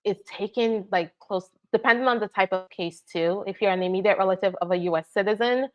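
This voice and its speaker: A woman's voice: medium pitch, brisk. Nigerian-born woman attorney, muffled audio. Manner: explanatory, frequent "right?" and "all right?" check-ins. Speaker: immigration attorney. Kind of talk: live Q&A answering viewer questions.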